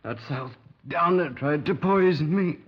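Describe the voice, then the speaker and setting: A man's voice: on the high side, unhurried, breathy edge. An actor playing an ailing, querulous man who grumbles fearfully with labored delivery in an old radio drama. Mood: aggrieved groaning. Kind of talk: 1950s radio drama acting. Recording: muffled old 1950s radio recording.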